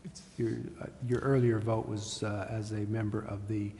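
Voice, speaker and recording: A man's voice: fairly low pitch, level tone, normal speed, warm tone. A man advising a council as a city official, explaining procedure. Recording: echoey council chamber, faint noise.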